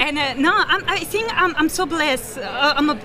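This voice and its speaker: A high-pitched young woman with a Chilean Spanish accent talks into a handheld mic backstage at an awards show. Her English comes out excited and halting, full of restarts, with a busy crowd murmuring behind her. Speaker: weather anchor, award winner. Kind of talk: backstage interview.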